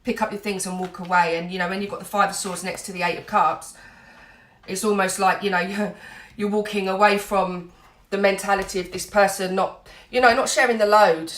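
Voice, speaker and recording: A woman's voice: mid-range voice, fairly even intonation, a little fast, a bit bright, reverberant. A woman giving an earnest, reassuring tarot reading while full of cold, dotting her talk with "you know". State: has a cold, a bit snotty. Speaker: tarot reader.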